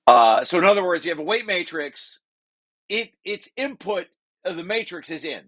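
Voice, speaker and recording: A man presenting a technical read-through, shifting into informal, halting explanations and often correcting himself. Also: sits high, very animated, fairly bright tone.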